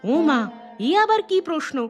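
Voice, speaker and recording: Cartoon housewife female actor, sceptical and scolding, very lively high voice, music bed. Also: moderate pace. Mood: incredulous, exasperated. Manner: questioning, scolding, expressive. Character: sceptical, exasperated village housewife. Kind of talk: cartoon voice acting.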